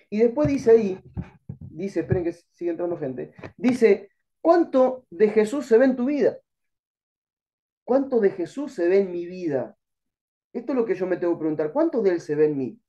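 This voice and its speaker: A man's voice: sits high, warm tone, highs cut off. A middle-aged man with an Argentine accent teaching an online Bible class, earnest and exhorting, calling listeners brother. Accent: Argentine Spanish.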